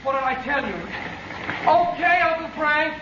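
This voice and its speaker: An actor in a 1940s radio serial playing a plucky youth, eagerly calling out. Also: male voice, very high voice, moderate pace. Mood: confident, urgent. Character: plucky youth named Bob urging others on. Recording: noisy, echoey, muffled old radio recording.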